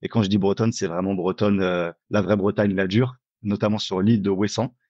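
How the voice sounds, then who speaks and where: A man's voice: lowish voice, steady tone, brisk, a little mellow, no echo, dull audio. A man, introducing himself casually as a podcast guest. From Paris, France. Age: 20s. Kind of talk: casual interview self-introduction.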